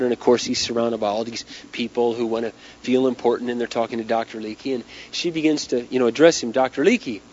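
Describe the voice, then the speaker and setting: A man's voice: mid-range voice, darkish tone. A man who is losing his voice, telling a story dramatically and conversationally as an inspirational speaker. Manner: dramatic, conversational, acting out dialogue. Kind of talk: storytelling talk to a live audience. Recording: dull lo-fi talk recording.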